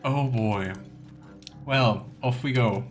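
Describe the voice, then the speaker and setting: A man's voice: mid-range voice, slow pace. A man hosting a video game let's play, commenting and reading game texts aloud over game music. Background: soft game music.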